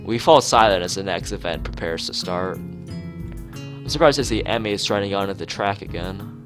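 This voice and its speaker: A man's voice: low-pitched, normal speed. A man streaming a visual novel, reading the text aloud with casual asides and often stumbling and correcting himself. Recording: music behind the voice. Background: game background music.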